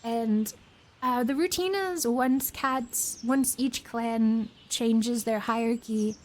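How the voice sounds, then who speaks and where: A young woman streamer with a hoarse, worn-out voice, chatting casually in a rambling way after reading a book aloud. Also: a little high, takes it slowly. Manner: chatty and rambling, with many fillers and giggles.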